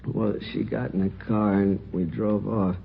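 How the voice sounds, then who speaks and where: A muffled old radio recording of a wounded man, low and gravelly. He talks in halting, slangy phrases, sheepish and weakened by his injuries, as in a 1950s detective drama. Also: level tone, slight background noise. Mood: shaken, defensive. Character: wounded married man, shot robbery victim, sheepish and plain-spoken.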